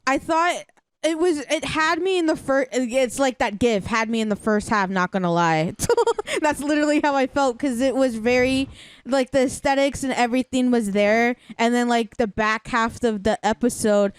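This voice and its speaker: A woman's voice: fairly high pitch, normal speed, clear, resonant tone, dry recording. A young woman co-hosting a podcast, chatting casually in an informal, exclamatory, agreeable way. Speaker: podcast co-host. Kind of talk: casual review chat.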